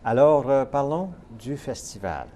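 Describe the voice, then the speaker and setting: A man's voice: medium pitch, lively intonation, measured pace, dark tone. A man hosting a casual TV interview, chatty and laughing, with many fillers. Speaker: talk show host.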